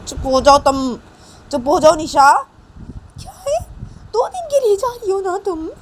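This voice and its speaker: A woman's voice: on the high side, fairly quick, a little mellow, close to an everyday voice. A woman voicing an exasperated mother who scolds a grown daughter insistently and repetitively in an amateur dramatized story. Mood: exasperated scolding. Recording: faint vehicle noise behind the voice.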